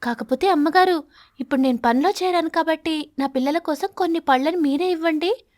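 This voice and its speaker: A woman voicing a poor mother sparrow in an animated story, humble and imploring, begging respectfully for work. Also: high-pitched, brisk, dry recording, clean studio sound, pitched above the performer's own voice, lightly acted. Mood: eager, assuring.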